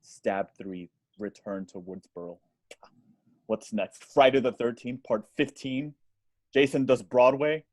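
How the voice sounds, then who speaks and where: A man playing an irritable, brash movie actor on his cellphone in an amateur table reading, casual and halting. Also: medium pitch, a little mellow, no room sound, lightly acted. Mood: sarcastic. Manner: casual, halting, swearing when annoyed.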